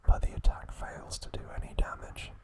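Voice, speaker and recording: A man whispering a calm ASMR recap, narrating softly with occasional fillers and self-corrections. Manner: soft, slow, unvoiced whispering close to the mic. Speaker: ASMR channel host.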